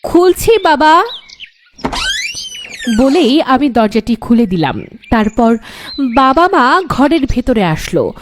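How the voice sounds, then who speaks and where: A woman's voice: sits high, big pitch swings, takes it slowly, pauses often, a little hiss or hum, heavily acted. A woman voicing a frightened young daughter who narrates a scripted horror cartoon with dramatic delivery. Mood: anxious.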